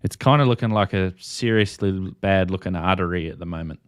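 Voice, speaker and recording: Male livestream host, slightly deep, casual and unscripted, recorded dry. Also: fairly quick, smooth, no echo. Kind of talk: casual live-stream chat about ham radio.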